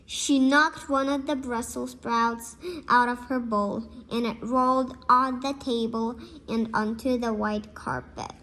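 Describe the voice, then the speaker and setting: A girl of about eight reads a storybook aloud, carefully and a little haltingly. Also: fairly high pitch, fairly even intonation, sharp tone, rough recording. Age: child, about 8. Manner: halting, careful reading with slips.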